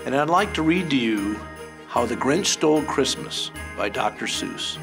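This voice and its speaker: A man who is a mayor reading a rhyming children's storybook aloud, with an expressive, rhythmic delivery. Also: medium pitch, moderate pace, music in the background.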